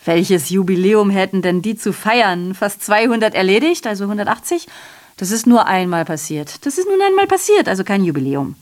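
A woman's voice: mid-range voice, lively intonation, brisk, darkish tone, natural voice. An actress playing a sardonic, aggrieved female messenger in a radio drama, delivering a digressive monologue full of rhetorical questions and self-corrections. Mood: muddled, sardonic.

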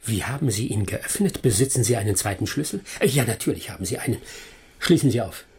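An actor playing a shrewd, composed gentleman detective in a radio drama, speaking formally and with insistent authority. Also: fairly low pitch, expressive, brisk, slightly rough, heavily acted. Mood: urgent. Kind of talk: German radio drama acting.

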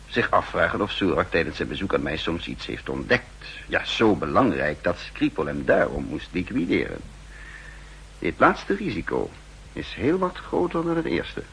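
An actor playing a shrewd, calm spymaster in a 1960s radio play, speaking in a measured, deliberate way. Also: male voice, deep voice, vocal fry, full character voice, low-quality recording. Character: shrewd, calm male spy posing as counterintelligence chief. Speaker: lead character and narrator. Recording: hissy, humming 1960s radio recording.

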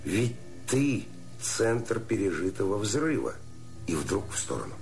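A man's voice: fairly low pitch, sing-song, unhurried, creaky, a little mellow, soft background music, full character voice. An actor playing a hurt, brooding man in a radio play, pleading in a letter to the woman he loves. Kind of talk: poetic radio play monologue.

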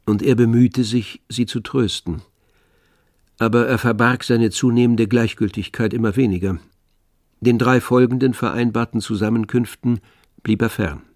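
A man's voice: medium pitch, fairly even intonation, normal speed, a little mellow, dry recording, clean studio sound. A man narrating a literary radio play from a script. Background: faint instrumental music.

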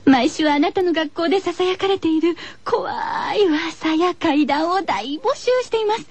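A woman's voice: very high voice, moderate pace, a little mellow. A woman hosting a radio show, moving between scripted introductions and friendly chat.

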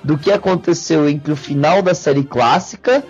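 A man's voice: a little high, moderate pace, breathy edge. A Brazilian man in a casual podcast discussion, explaining things in detail as a host. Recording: dull lo-fi audio with music.

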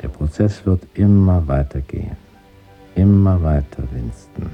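An actor playing a zealous, coolly intellectual party scholar, lecturing deliberately in a radio drama monologue. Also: male voice, a bass voice, expressive, full character voice. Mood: coldly visionary. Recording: dull lo-fi sound, faint music behind.

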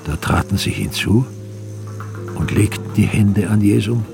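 Solemn male radio-drama narrator, creaky lowish voice, measured scripture reading with music beneath. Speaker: narrator of a passion play. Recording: prominent music behind the voice.

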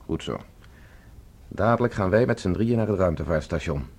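An actor playing a resolute escaped professor in a science fiction radio play, giving terse orders. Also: male voice, deep voice, expressive, moderate pace, darkish tone. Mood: firm, commanding. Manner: terse, imperative, gives orders. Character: commanding escaped professor, prisoner on Mars, urgent and resolute.

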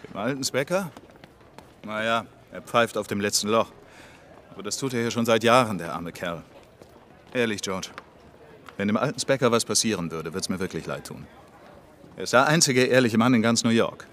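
A man's voice: fairly low pitch, normal speed, long pauses, a bit bright, slight background noise, close to an everyday voice. A man playing a chatty, enthusiastic office worker in a naturalistic radio drama, speaking eagerly and colloquially. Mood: fond.

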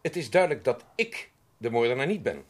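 An actor playing a smug murder suspect in a radio play, haughty and mockingly polite in a formal way. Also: male voice, medium pitch, sing-song, a little fast. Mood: smugly composed.

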